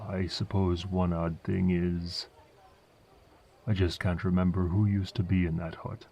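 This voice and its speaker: An aged, humble villager in a fantasy audio drama, with a rustic burr. He rambles folksily and curiously at a very slow pace. The voice is deep and dark, the sound a little dull. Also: gravelly, slight background noise, heavily acted. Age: older. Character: old injured village farmer, humble, chatty and curious. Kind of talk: fantasy audio roleplay acting.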